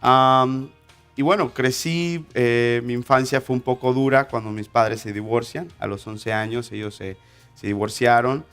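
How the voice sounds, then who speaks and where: A man's voice: mid-range voice, unhurried, fairly bright tone, smooth, soft background music. A man, telling his life story as an interview guest, hesitant with many fillers and restarts. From Honduras. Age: 20s. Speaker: interview guest, ministry instructor. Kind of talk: personal testimony in an interview.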